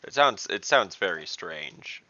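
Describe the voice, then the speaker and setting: A man playing an online tabletop game, talking casually and haltingly as he restarts his questions. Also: sits low, expressive, bright, forceful tone, dull audio. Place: indoors, online voice call.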